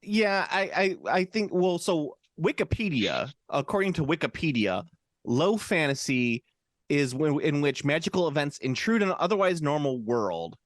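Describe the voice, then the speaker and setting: Lively, rambling male podcast host. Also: fairly high pitch, moderate pace, no echo, clean studio sound. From United States. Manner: rambling, jokey, lots of restarts and fillers. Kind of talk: casual chat.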